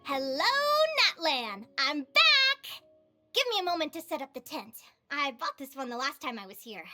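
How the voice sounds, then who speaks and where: A woman voicing a cheerful, encouraging young female adventurer in a video game, with a perky, expressive delivery. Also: high-pitched, normal speed, soft background music, exaggerated voice. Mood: excited. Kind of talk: animated video game voice acting.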